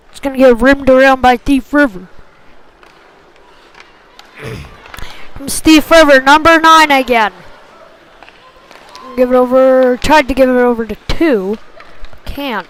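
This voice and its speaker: Female hockey play-by-play caller, very high voice, slow pacing, clipped phrasing, excitable on big plays. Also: slight background noise, rough recording. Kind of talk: live sports commentary.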